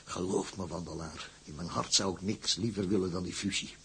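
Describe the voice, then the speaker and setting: A world-weary tugboat owner in a period drama. His lowish voice is thick with creak and very husky. He speaks with weighty, paternal caution and resignation. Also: steady tone, a little fast, fairly bright tone, full character voice. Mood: reluctant, sincere. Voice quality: gravelly, husky.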